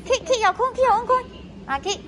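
A woman's voice: extremely high pitch, a little fast, heavy background noise. A woman with a Singaporean English accent, a parent filming and coaxing her toddler at an indoor gym, cheering and laughing often. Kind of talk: casual encouragement to a child. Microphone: phone camera held by the filmer. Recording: loud music and crowd chatter behind.

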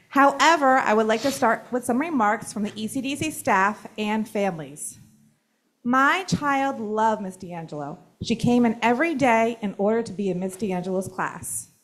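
A woman administrator with a loud, somewhat high voice reads prepared tributes at a board meeting. Her delivery is friendly and direct. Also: low-quality recording. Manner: loud voice, friendly, chuckles between remarks. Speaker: school administrator. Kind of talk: reading prepared remarks.

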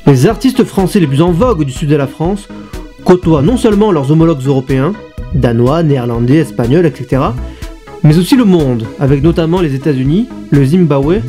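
A man reading a scripted narration for a report in an even, smooth delivery. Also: on the high side, a little fast. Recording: music bed behind the voice.

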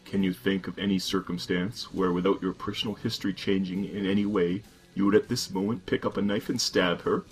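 A man doing Death, deep and grave. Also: level tone, faint music behind, close to an everyday voice, poor audio. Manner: slow, grave, measured. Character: Death, calm ancient male reaper.

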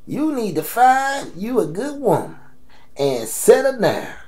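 A man's voice: very high voice, sing-song, slow, darkish tone, exaggerated voice. A man playing a blunt, teasing old American grandfather in an audio drama, salty and slangy as he scolds. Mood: scolding.

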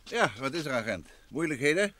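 An actor playing a calm, decisive and protective amateur detective in an old Dutch radio play. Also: male voice, on the high side, fairly quick. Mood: puzzled, guarded.

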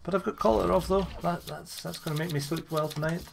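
A man's voice: on the high side, steady tone, brisk, creaky, a little hiss or hum. A man giving casual, sweary gameplay commentary as he makes a video, grumbling and sarcastic. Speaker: gamer making a video.

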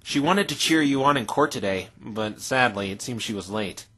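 A man voicing an earnest, bemused defense lawyer in a fan dub, asking measured questions with dry puzzlement. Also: mid-range voice, animated, a bit bright, husky. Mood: hesitant. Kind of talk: fan dub voice acting of a visual novel. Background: music.

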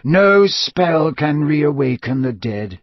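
A man voicing a weary, wise old wizard headmaster with a slow, solemn, measured delivery. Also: fairly high pitch, very animated, slightly rough, no room sound, muffled recording, pitched above the performer's own voice, full character voice.

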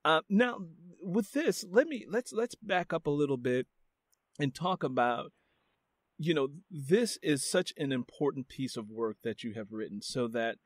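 A man's voice: on the high side, animated, a little slow, no room sound. Reflective male podcast host, dry close sound. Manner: reflective, many "you know" fillers. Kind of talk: casual interview talk.

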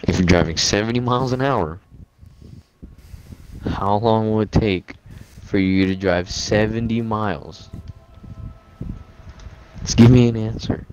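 Young dude in party chat, super deep voice, teasing, lo-fi mic with noise behind. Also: male voice, unhurried, long pauses. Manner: teasing, playful quizzing. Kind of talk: casual gaming chat.

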